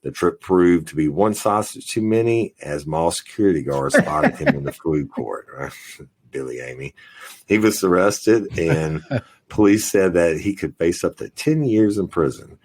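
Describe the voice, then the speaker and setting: A man's voice: sits low, measured pace, a little mellow. A man co-hosting a crime news podcast, reading stories aloud with crude, joking asides. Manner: profane, jokey, reads headlines aloud. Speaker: podcast co-host. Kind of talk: casual crime news chat.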